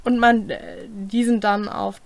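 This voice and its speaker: A woman's voice: medium pitch, flat delivery, unhurried, vocal fry. A young woman co-hosting, reading tabletop game rules aloud and chatting casually. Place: small home room, indoors.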